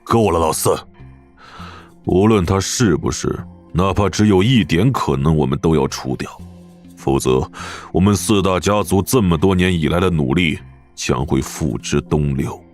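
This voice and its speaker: Casting: ruthless elderly clan chief, extremely low voice, commanding, animated drama, music underneath. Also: male voice, unhurried. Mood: stern. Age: older. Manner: grave, commanding. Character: stern, ruthless old patriarch of a powerful clan. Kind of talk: animation voice acting.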